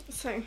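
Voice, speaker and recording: A young woman with a British accent chatting and teasing casually as she gives a handheld vlog tour indoors. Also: a little mellow. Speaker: vlogger giving a house tour. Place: indoors, rooms of a holiday house. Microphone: handheld phone or camera. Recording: noisy handheld phone recording.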